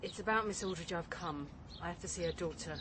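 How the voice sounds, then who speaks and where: Brisk policewoman, played by a woman. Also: on the low side, noisy background, lightly acted. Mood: businesslike. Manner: brisk, direct. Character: brisk, businesslike female detective inspector. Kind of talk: radio crime drama acting.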